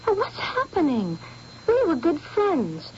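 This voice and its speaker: An actress playing a haunted young artist's model, speaking softly and earnestly in an old radio drama. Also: female voice, extremely high pitch, wildly swooping pitch, slow pace, slight background noise, exaggerated voice. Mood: pleading. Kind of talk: 1970s radio drama acting. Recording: dull lo-fi old radio recording.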